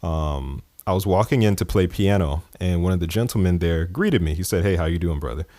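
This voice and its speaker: A man's voice: low voice, a little fast, dark tone, dry recording. A man from the East Coast of the United States hosting his podcast, talking in a loose, conversational way with fillers and chuckles. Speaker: podcast host. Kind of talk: casual podcast monologue.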